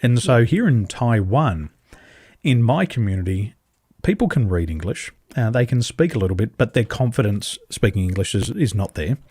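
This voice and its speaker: An Australian man, a podcast guest, speaking on a video call and telling animated stories, acting out dialogue with big leaps in pitch and emphatic repetition. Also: moderate pace, husky, no room sound. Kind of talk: animated conversational storytelling. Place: home in Taiwan, over a video call.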